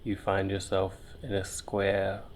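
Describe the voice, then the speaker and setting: Male meditation guide: extremely unhurried delivery, very flat intonation, soothing, pausing between phrases. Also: low-pitched, a little hiss or hum. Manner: soothing, unhurried with long pauses. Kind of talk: guided visualization, meditative narration.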